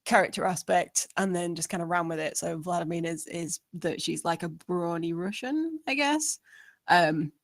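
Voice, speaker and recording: A woman giving casual feedback as a panelist, friendly, rambling and softening her points. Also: on the low side, normal speed, no echo, clean studio sound. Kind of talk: casual chat.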